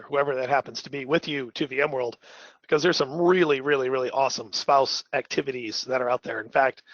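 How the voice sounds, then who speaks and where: A man with a voice gone raspy from a cold, presenting a conversational, upbeat technical webinar as a trainer. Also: medium pitch, fairly bright tone, no echo, dull audio.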